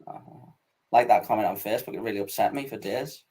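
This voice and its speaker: A man's voice: fairly low pitch, fast talker, a little mellow, slightly rough. Teasing male host of a live chat show. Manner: teasing, joking banter. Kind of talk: casual live interview.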